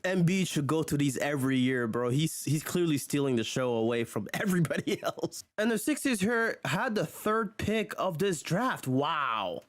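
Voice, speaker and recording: A man's voice: a little high, big pitch swings, normal speed, hardly any pauses, dry recording. A man hosting a casual sports commentary video, chatty and exclaiming, often chuckling. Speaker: video host.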